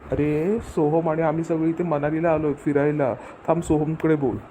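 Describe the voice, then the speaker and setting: A man's voice: a little high, level tone, normal speed, warm tone, lightly acted. A man playing a cheerful, devoted father in a cartoon family comedy, speaking in an affectionate, earnest way. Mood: friendly. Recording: clear background noise, ambience.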